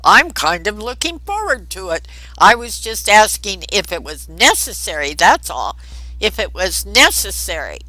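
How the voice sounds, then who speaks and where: A woman voicing a wordy, musing, flirtatious male intellectual in an audiobook, with halting, theatrical phrasing. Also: medium pitch, big pitch swings, sharp tone, dry recording, full character voice, poor audio. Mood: uneasy, curious. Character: rambling, musing, flirtatious man, pompous intellectual.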